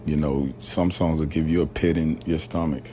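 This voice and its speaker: A man's voice: rumbling low, steady tone, moderate pace, dark tone, a little hiss or hum. A man from rural Alabama hosting a casual solo podcast, talking loosely with lots of fillers and slang. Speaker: podcast host.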